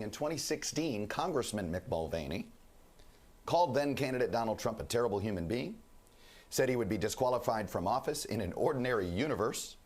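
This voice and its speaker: A man anchoring a news broadcast and interviewing a guest, speaking with a rough, hoarse voice while under the weather. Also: medium pitch, darkish tone. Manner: measured, formal newsreader delivery.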